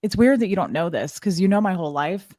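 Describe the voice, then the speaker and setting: A woman's voice: on the low side, lively intonation, fast talker, a little mellow, no room sound, studio quality. A woman, co-hosting a livestream, chatting playfully and greeting viewers. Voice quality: slightly raspy. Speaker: livestream co-host. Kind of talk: casual chat reading out viewer names.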